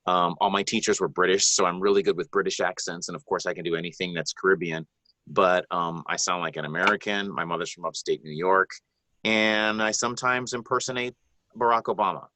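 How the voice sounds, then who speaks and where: A deep-voiced man from the Bahamas answers questions as a guest narrator in a live online Q&A. His tone is bright and forceful, and he talks in an earnest, rambling way full of ums and restarts. The sound is dry and close. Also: fairly even intonation, fairly quick, no room sound. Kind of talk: live video Q&A advice.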